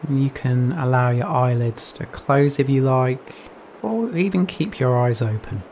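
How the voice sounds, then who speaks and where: Slow-paced man guiding meditation over rain. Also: medium pitch. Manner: gentle, soothing, with long pauses between phrases. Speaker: meditation guide. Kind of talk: guided Yoga Nidra meditation. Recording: steady rain noise behind voice. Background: rain sounds.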